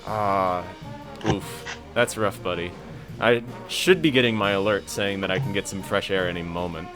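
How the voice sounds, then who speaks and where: A man's voice: low voice, moderate pace, music in the background, close to an everyday voice. A man playing a laid-back, wisecracking student gamer in a casual amateur audio drama. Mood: wry. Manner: casual, joking.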